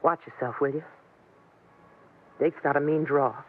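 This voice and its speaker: A woman's voice: low voice, measured pace, phone-quality sound. An actress playing a lonesome, plain-spoken American frontier woman in a 1950s radio western, on an old broadcast recording. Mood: concerned. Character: weary, lonesome frontier woman with a troubled past.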